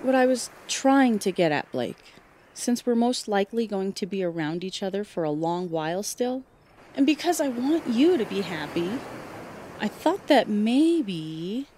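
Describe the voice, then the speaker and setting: A woman's voice: mid-range voice, big pitch swings, measured pace, warm tone, a little hiss or hum. A woman voicing a young, extroverted huntress in an audiobook, affectionate, earnest and playful in an informal, teasing way. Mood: hesitant, sincere. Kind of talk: audiobook fan fiction character dialogue.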